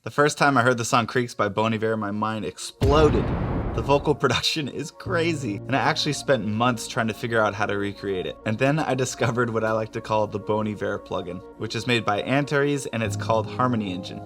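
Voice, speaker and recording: Fast-talking man giving a casual tutorial. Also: on the low side, over music. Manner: informal, enthusiastic. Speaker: music production tutorial host.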